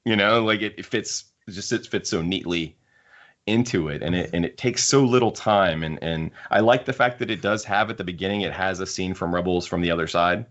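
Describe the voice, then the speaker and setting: A man's voice: deep voice, a little fast, a bit bright. A man hosting a podcast, casually discussing a book and thinking aloud as he rambles. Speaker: podcast host. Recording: dull lo-fi podcast audio.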